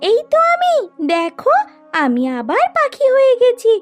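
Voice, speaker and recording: A performer as a tiny, high-pitched timid bird girl. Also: huge pitch swings, measured pace, faint music behind, full character voice. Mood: relieved. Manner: pleading, worried. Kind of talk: cartoon fairy-tale voice acting.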